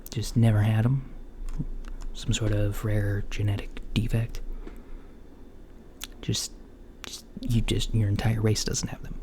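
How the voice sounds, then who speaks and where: A man playing a mild, puzzled alien doctor in a soft, breathy, often whispered ASMR roleplay, with hesitant, gently deadpan murmuring. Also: on the low side. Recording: lo-fi home recording, faint background noise.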